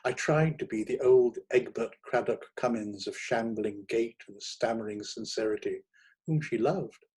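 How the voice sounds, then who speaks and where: A man narrating a literary short story in a theatrical, expressive manner. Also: mid-range voice, unhurried, husky. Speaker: reader of short stories.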